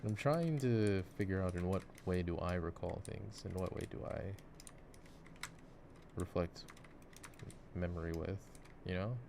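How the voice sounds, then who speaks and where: Male, very low voice, musing ASMR gamer chat, light clicking and typing behind. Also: a little slow, vocal fry, pauses often. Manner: reflective, musing. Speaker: ASMR voice actor playing games. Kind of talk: intimate ASMR gameplay chat. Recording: clicking mouse and keyboard noise. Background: mouse clicks and keyboard typing.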